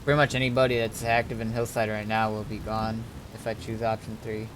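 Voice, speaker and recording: A man's voice: fairly low pitch, level tone, fairly bright tone. Man thinking aloud, noisy background. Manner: halting, deliberating, mulling things over. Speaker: streamer and roleplay gamer. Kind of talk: casual game stream talk, thinking aloud.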